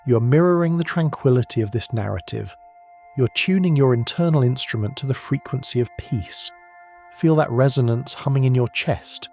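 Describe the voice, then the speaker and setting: A man giving calm, slow, soft-spoken bedtime narration. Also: mid-range voice. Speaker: sleep narrator.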